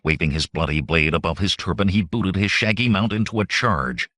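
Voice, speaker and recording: A man's voice: deep voice, fairly quick, sharp tone, no echo, studio quality. A man reading fantasy adventure fiction as a dramatic audiobook narrator.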